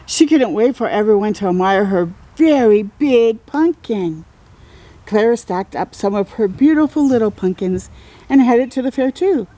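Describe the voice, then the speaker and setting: Woman storyteller for a children's read-aloud: high, very animated voice, encouraging asides. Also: moderate pace, no echo, low-quality recording. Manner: exclaims and comments encouragingly, drawing out key words. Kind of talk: expressive picture-book reading with friendly asides to young listeners.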